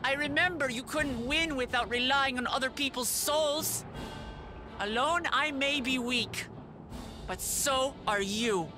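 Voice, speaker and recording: Slow-spoken, defiant young heroine. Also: female voice, sits high, music in the background. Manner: resolute, heartfelt. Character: brave young princess, earnest, defiant, protective sister. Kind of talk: dramatic fantasy video game acting.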